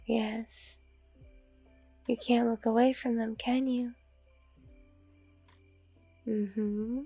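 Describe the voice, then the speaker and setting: A woman playing a seductive, commanding mature female vampire in an intimate ASMR roleplay, breathy, purring and often whispering. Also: on the high side, dark tone, exaggerated voice. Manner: slow, drawn-out, purring, domineering.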